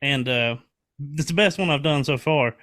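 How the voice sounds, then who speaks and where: A man's voice: medium pitch, normal speed, fairly bright tone. A man in his forties having a casual, earnest podcast conversation, colloquial and prone to swearing. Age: 40s.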